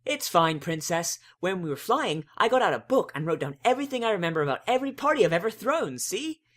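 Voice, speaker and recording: A man voicing a bubbly, scatterbrained young female pony who chatters excitably. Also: high voice, fast, pitched above the performer's own voice, heavily acted.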